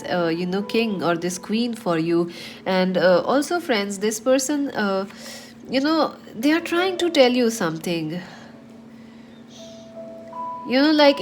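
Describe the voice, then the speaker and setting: A woman's voice: mid-range voice, a little hiss or hum. Woman with a stuffy cold, reading tarot. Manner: chatty, many fillers, addresses viewers as friends. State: nasal from a cold. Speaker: tarot reader.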